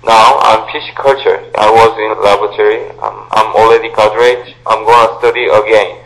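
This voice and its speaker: A young man in his twenties from South Korea with a Korean accent, answering interview questions in halting, simple English. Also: fairly low pitch, level tone, a bit bright, poor audio. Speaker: volunteer guest. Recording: radio broadcast.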